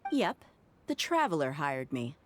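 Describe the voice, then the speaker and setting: A woman's voice: deep voice, big pitch swings, unhurried. A woman playing a brash, outspoken mercenary in a video game, informal and spirited. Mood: teasing.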